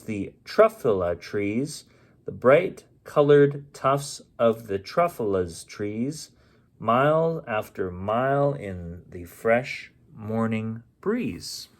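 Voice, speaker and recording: A man reading a rhyming children's storybook aloud as a bedtime story host, with an expressive, unhurried delivery and the odd stumble. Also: mid-range voice. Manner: unhurried, stumbles and corrects himself.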